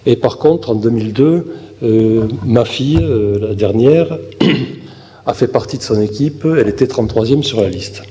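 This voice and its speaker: A man in his fifties from southern France giving a halting campaign speech to a local audience, with fillers and restarts. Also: medium pitch, expressive, mellow. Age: 50s. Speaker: municipal election candidate. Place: meeting hall. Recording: long hall reverb with faint room noise.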